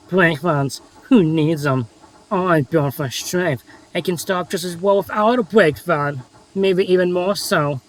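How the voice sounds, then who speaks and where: A man's voice: sits high, takes it slowly, low-quality recording. A man playing a grumpy, devious diesel engine in a children's railway story, sarcastic and boastful in manner.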